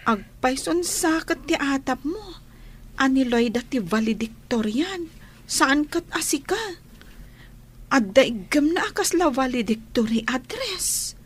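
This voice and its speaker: A woman playing a lively, friendly teenage schoolgirl in a radio drama, with an Ilocano Filipino accent and an animated, emphatic way of talking. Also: high-pitched, unhurried, a little hiss or hum. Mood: curious.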